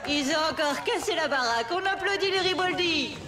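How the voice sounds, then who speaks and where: An actress playing a showy, gushing lady contest host in an animated cartoon, announcing theatrically. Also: sits high, brisk, a loud crowd. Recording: echoey voice over loud music.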